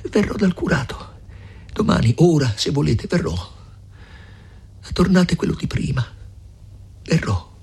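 Man putting on a high, breathy, tearful young woman. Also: sing-song, measured pace, long pauses, darkish tone, full character voice. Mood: yielding. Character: tearful, pleading young peasant woman, timid but resolute.

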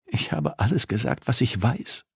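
A man's voice: medium pitch, wildly swooping pitch, normal speed, vocal fry, darkish tone, breathy edge, dry recording, studio quality, voice pushed up, exaggerated voice. A man narrating an audiobook, voicing a captive female homicide detective who is defensive, stubborn and strained under interrogation.

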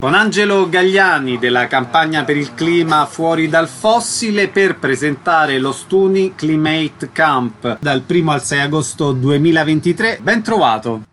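A man's voice: fairly high pitch, expressive, unhurried, hardly any pauses, a bit bright, slight background noise. A man hosting a radio interview, announcing and asking brief questions.

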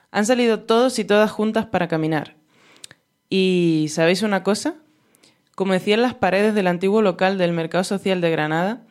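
A woman with a fairly low voice reads prepared radio commentary. Her delivery is earnest and measured. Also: a little mellow. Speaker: radio host. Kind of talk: read commentary.

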